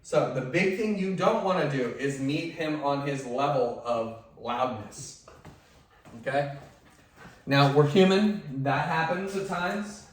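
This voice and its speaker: Male dog trainer, loud voice, chatty and instructive; distant mic in a boomy room with light hiss. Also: takes it slowly, very echoey. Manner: instructive, conversational, drops to soft commands to the dog. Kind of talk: hands-on training demonstration.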